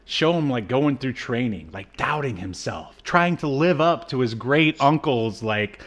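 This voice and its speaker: A man's voice: medium pitch, lively intonation, unhurried, fairly bright tone. A man co-hosting a podcast, giving a casual, comic recap with incredulous, mocking asides. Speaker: podcast co-host.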